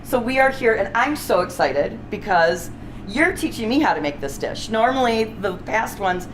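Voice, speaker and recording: A woman's voice: fairly high pitch, animated, moderate pace. A middle-aged woman hosting a cooking series in a casual, chatty, enthusiastic, unscripted way. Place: restaurant kitchen, indoors. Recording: clearly noisy kitchen ambience.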